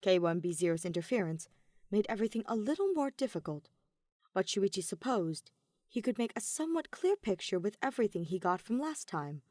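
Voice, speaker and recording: A woman's voice: medium pitch, sing-song, fairly quick, dark tone, no room sound, studio quality. A woman reading scripted fiction as an audiobook narrator, in a dramatic storytelling style.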